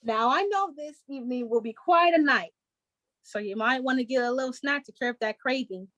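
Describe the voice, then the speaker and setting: A woman's voice: high voice, normal speed, fairly bright tone, dry recording. A woman playing a chipper 1940s radio show host, giving scripted announcements in a showy, presentational way. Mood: showy, upbeat.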